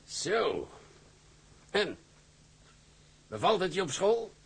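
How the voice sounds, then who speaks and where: An actor playing a stern older shipping company director in a radio drama, lecturing in an authoritative way. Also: male voice, high voice, big pitch swings, very slow, vocal fry, a bit bright, slightly rough, full character voice. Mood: fatherly.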